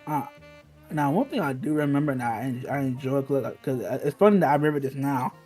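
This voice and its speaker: A man's voice: medium pitch, quick pace, warm tone, faint music behind. A man reminiscing in casual, slangy talk, emphatic and often repeating himself.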